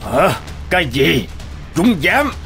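A man voicing an arrogant hulking male demon king who speaks in a scornful, commanding way, in an animated folk tale. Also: fairly high pitch, slow pace, vocal fry, faint music behind, full character voice. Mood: outraged.

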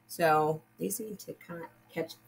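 A woman's voice: deep voice, takes it slowly, creaky. A woman teaching young children, patiently explaining step by step and reading aloud like a primary school teacher. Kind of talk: children's lesson and picture book read-aloud.

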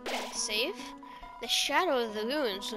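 A woman's voice: a little high, animated, unhurried, music in the background. A woman giving casual, rambling let's play commentary as a gaming host, swearing often.

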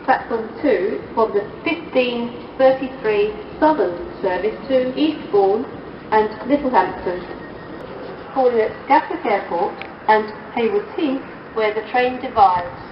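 A woman's voice: a little high, a little slow. A woman with a British accent giving automated platform announcements at a railway station. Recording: echoey, distant, muffled platform recording, noisy.

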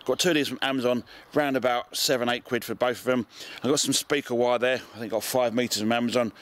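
A man's voice: mid-range voice, steady tone, fast talker. A middle-aged man with a British accent giving a casual hands-on demonstration, recorded outdoors in a garden. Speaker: amateur radio video presenter.

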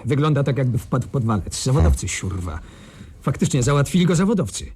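An actor playing a tough, streetwise ex-commando in a radio crime play, talking in colloquial slang. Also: male voice, mid-range voice, big pitch swings, brisk, a little mellow, slightly rough. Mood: grim. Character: tough, streetwise ex-commando gang member reporting back.

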